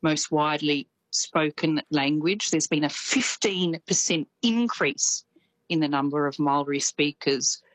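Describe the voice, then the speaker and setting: An Australian woman with a slight New Zealand lilt, chatting on radio as a correspondent, enthusiastic and quick to laugh. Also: low voice, no room sound, poor audio. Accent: Australian with some New Zealand. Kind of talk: radio chat.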